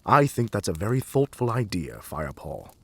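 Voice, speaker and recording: A man voicing a calm, kindly senior cat in a storybook tale. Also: fairly low pitch, normal speed, slightly rough, full character voice. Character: calm, kindly adult male deputy cat.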